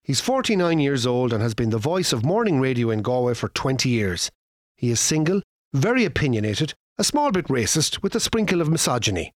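An actor playing a wry, self-assured former radio boss with an Irish accent, narrating a comic radio play. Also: male voice, medium pitch, very animated, a little fast, dry recording, clean studio sound. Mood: wry storytelling.

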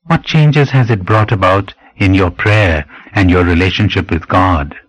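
A man narrating a scripted spiritual meditation in a measured, deliberate, instructive way, pausing between phrases. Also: low voice, poor audio.

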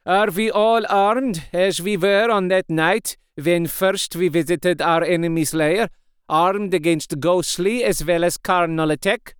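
A man's voice: high-pitched, fairly even intonation, clear, resonant tone, no room sound, clean studio sound, pitched above the performer's own voice. A man doing a grave elderly professor. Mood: solemn. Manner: solemn, formal, slow and deliberate. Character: wise elderly male professor, kindly and grave.

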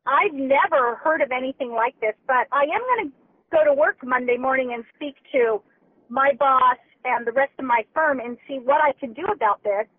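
A woman in her fifties calling in angry from her car over a Bluetooth phone, with a loud, whiny, nasal voice and an indignant, lawyerly way of talking. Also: high voice, moderate pace. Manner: indignant, lawyerly, repeats herself. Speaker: angry customer on a call. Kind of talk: heated phone complaint. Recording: tinny phone line, dry.

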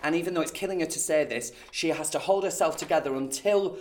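A man's voice: fairly high pitch, fast, slight background noise. A man who performs as a pantomime dame, coaching casually in a playful, encouraging and instructive way.

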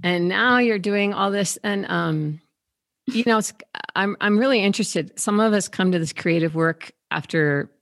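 A woman interviewer who asks gentle, searching questions and often restarts her sentences. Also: medium pitch, moderate pace, dry recording, clean studio sound. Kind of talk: conversational interview.

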